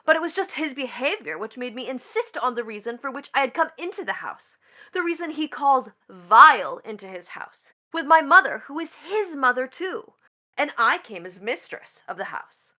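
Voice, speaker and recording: A woman's voice: sits high, very animated, moderate pace, sharp tone, exaggerated voice. A woman playing a scornful, impudent young stepdaughter in a stage play, speaking with biting, declamatory drama. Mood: scornful, accusing. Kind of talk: theatrical stage-play reading.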